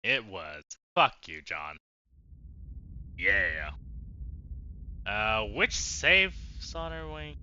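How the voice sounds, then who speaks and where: A man's voice: fairly low pitch, big pitch swings, very slow, pauses often, bright, forceful tone, muffled recording, a little hiss or hum. A man giving casual, sweary, sarcastic gaming commentary, talking back to the game as he streams. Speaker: let's play streamer.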